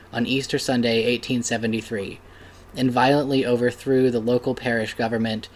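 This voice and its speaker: Man reader, plain even narration, mid-pitched voice. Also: poor audio. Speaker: reader at a church table reading. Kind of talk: reading an essay aloud.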